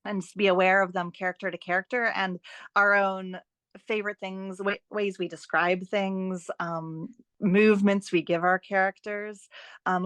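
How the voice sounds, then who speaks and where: A woman's voice: mid-range voice, fairly bright tone, dry recording. A woman giving advice as a guest in a podcast interview, speaking emphatically and encouragingly and often posing rhetorical questions. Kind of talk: podcast interview, explanatory talk.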